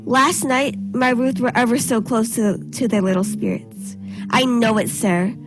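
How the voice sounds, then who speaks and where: A woman's voice: fairly high pitch, fairly even intonation, measured pace, gravelly, over music. A woman acting a morbid, superstitious, grieving Puritan mother in a radio play, intense and ominous with archaic phrasing. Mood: grieving, insistent. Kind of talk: period stage drama acting, radio play.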